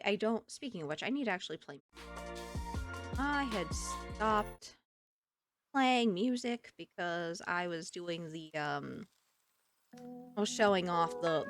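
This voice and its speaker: A woman streamer chatting casually with her live chat, telling stories in an animated way and laughing often. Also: medium pitch. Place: home streaming setup.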